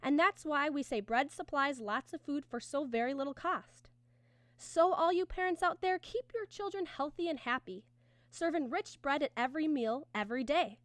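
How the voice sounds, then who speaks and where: A woman's voice: high-pitched, normal speed, a bit bright, no room sound. A woman announcer reading a scripted 1950s-style radio advertisement with an earnest, emphatic sales delivery.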